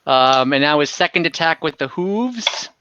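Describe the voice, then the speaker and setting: A man's voice: on the high side, expressive, moderate pace, bright, forceful tone, muffled recording, slight background noise. A man casually running an online tabletop fantasy game as game master, in a conversational way. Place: online voice call.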